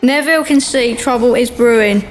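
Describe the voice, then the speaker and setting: A woman's voice: on the high side, moderate pace, over loud music, rough recording. A woman reading scripted narration as the narrator of an amateur radio play. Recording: noticeable background noise.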